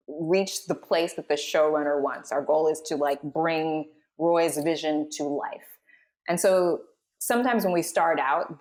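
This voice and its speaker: A woman's voice: low voice, a little mellow. A woman hosting a cast discussion as a voice-over director, explaining things in an encouraging way. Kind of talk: guiding a cast panel discussion.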